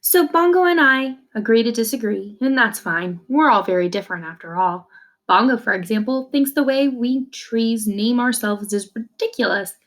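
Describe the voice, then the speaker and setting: Higher-voiced woman reading a story aloud. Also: expressive, moderate pace. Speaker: teacher reading a children's novel aloud. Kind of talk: read-aloud storytelling with brief explanations.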